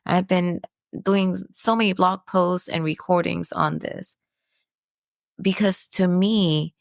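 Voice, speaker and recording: Slow, gravelly-voiced woman podcaster. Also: lowish voice, fairly even intonation, dry recording, clean studio sound. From Dominican Republic. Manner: earnest, preachy, asks rhetorical questions. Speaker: podcast host. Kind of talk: personal reflective monologue.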